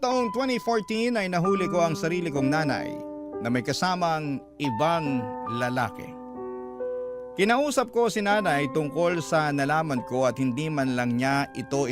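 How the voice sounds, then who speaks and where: Filipino male storyteller over guitar music. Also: medium pitch. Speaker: radio drama narrator. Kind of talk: dramatic scripted first-person narration. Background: plucked guitar music.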